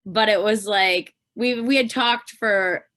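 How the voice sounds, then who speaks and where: A woman's voice: mid-range voice, slow, fairly bright tone, no room sound, clean studio sound. A Canadian woman chatting casually and informally as a podcast guest, peppering her talk with "like". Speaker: podcast guest, pop singer. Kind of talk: casual chat.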